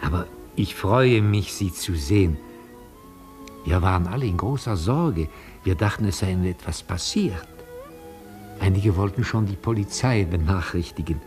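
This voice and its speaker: An actor playing a gentle, nostalgic old retired opera tenor in a German radio play, courteous and halting. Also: male voice, low voice, soft background music, close to an everyday voice. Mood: shy, concerned. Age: older.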